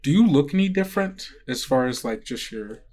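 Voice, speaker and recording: A man's voice: medium pitch, animated, brisk, a little mellow. A man narrating a tabletop game as game master, improvising hesitantly in a casual way. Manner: hesitant, frequent "like" and "kind of".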